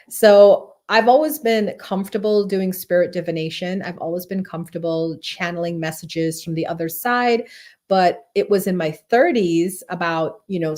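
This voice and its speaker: Female tarot teacher, 40s, from Barbados and Guyana; lowish, chatty live-stream delivery. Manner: chatty, greets viewers by name.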